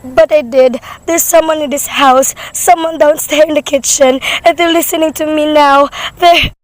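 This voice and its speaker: A woman's voice: high voice, steady tone, moderate pace, close to an everyday voice. A woman playing a nervous, demanding invalid wife alone at home in a radio play, with an imperious, fretful manner. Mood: terrified, pleading.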